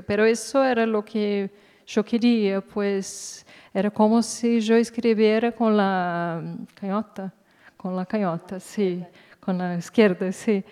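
Slow-speaking woman in an echoey hall. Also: medium pitch, mellow, smooth. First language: Portuguese. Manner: halting, searching for words. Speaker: guest author, poet. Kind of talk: unscripted talk at a literary event.

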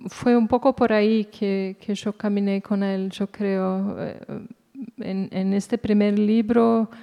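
A woman, a native Portuguese speaker, talking unscripted as a guest author, haltingly searching for words. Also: medium pitch, fairly even intonation, vocal fry, clean tone, lo-fi sound. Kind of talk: unscripted talk at a literary event. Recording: echoey hall reverb.

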